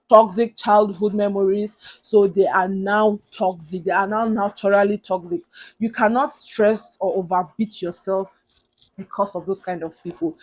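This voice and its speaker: Loud-voiced young Nigerian woman giving advice. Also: medium pitch, low-quality recording. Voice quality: loud, big voice. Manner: earnest, emphatic, repeats points for stress. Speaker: vlogger. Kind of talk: motivational advice talk to camera.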